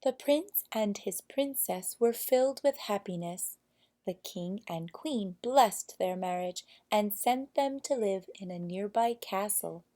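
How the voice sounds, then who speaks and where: A woman narrating a children's fairy tale from a storybook, with deliberate, expressive phrasing. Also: medium pitch. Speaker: storybook reader.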